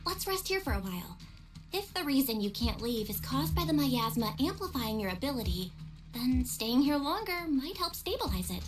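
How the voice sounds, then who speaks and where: Very high-voiced, caring young girl. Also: big pitch swings, moderate pace, over music. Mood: calm, curious. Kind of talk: anime-style game voice acting.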